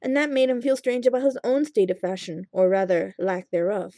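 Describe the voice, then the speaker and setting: A woman reading fan fiction aloud as an expressive scripted narration. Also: mid-range voice, brisk, dry recording, clean studio sound.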